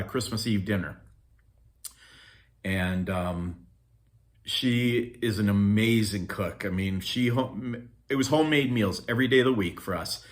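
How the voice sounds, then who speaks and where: A man's voice: mid-range voice, a bit bright. A middle-aged man from Norway telling childhood memories in a rambling vlog, talking into a phone on a selfie stick, with many ums and restarts. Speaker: vlogger. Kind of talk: casual storytelling vlog.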